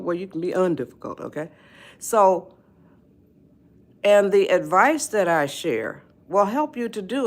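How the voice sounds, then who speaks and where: An eighty-one-year-old woman chats on her live stream. She talks slowly and drifts between thoughts. She laughs easily and stops to greet her viewers. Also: lowish voice. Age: 80s. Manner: chatty, rambling, laughs often, greets viewers. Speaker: livestream host. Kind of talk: casual live-stream chat.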